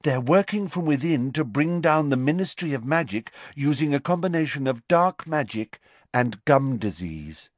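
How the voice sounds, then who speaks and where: A man's voice: mid-range voice, expressive, moderate pace, no room sound, voice pushed up, lightly acted. A dreamy teenage girl speaks in a lifted, airy voice, blithely offhand about strange ideas, as a man puts her on. The sound is dry and close to the mic. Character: dreamy, odd teenage girl, matter-of-fact.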